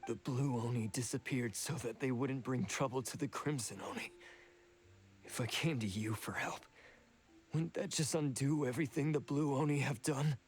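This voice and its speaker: A man's voice: medium pitch, normal speed, close to an everyday voice. A man playing a proud, guilt-ridden male oni outcast in a video game, speaking in a serious, remorseful way. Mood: troubled. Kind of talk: English video game voice acting.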